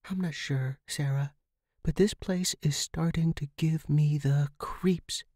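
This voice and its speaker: Guy as a young lady plotting with her pal, pushy, higher voice, spooky audiobook. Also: steady tone, slow, darkish tone, no room sound, studio quality. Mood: suspicious. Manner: insistent, conspiratorial. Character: daring, persuasive young woman writer, scheming friend.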